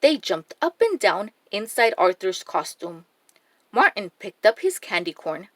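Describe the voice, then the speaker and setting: Slow, lively woman reading a children's story. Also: medium pitch, dry recording. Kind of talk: expressive read-aloud storytelling for young children.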